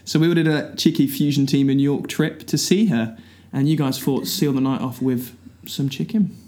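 A man's voice: medium pitch, fairly even intonation, normal speed, mellow. A man co-presenting a casual chat with a playful, wry manner. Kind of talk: casual banter.

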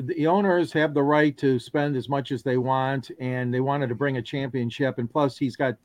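A man's voice: medium pitch, no room sound. A man on a sports talk panel who rambles with many fillers and corrects himself as he goes.

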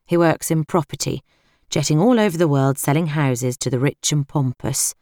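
Female audiobook narrator, British accent, low pitch, scripted first-person storytelling, very dry recording. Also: moderate pace, darkish tone, no echo.

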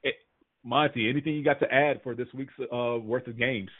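A man's voice: medium pitch, fairly even intonation, a little fast, no echo. Tinny-sounding male sports podcaster. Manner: talks through injury and ranking news. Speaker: podcast co-host. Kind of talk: casual sports podcast chat. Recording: tinny phone-like dry sound.

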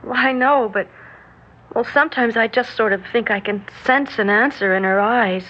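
An actress as an eager, high-voiced young niece. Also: female voice, moderate pace, close to an everyday voice. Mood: cheerful, breezy. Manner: chatty, eager, girlish. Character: bright, affectionate young niece, playful and earnest. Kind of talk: 1960s radio drama acting. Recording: muffled, hissy 1960s radio recording.

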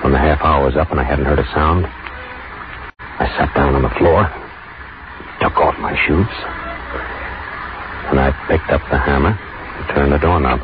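An actor playing an anxious, lovestruck man plotting a crime, in tense, halting 1940s radio thriller style. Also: extremely low pitch, fairly even intonation. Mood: brooding suspense. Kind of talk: 1940s radio thriller acting, first-person narration. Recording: noisy, muffled 1940s radio recording.

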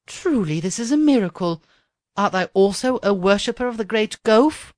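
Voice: medium pitch, very animated, normal speed, no echo, studio quality, voice pushed up, close to an everyday voice. An audiobook reader voicing a young princess who speaks in formal, old-fashioned phrases. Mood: earnest. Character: proud, sporty young princess.

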